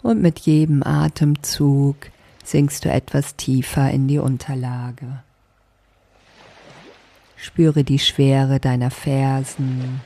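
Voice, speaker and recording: A woman leading a guided sleep meditation as a yoga nidra teacher, speaking softly in a calming, deliberate way. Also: extremely low pitch. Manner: soft, calming, deliberate instruction.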